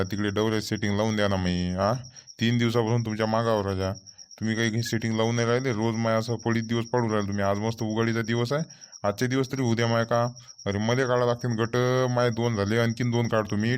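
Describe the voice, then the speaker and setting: A man's voice: lowish voice, clear, resonant tone, lightly acted. A man playing a harried, fretful village farmer in a comic cartoon, muttering complaints in a Vidarbha Marathi accent. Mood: pleading, impatient.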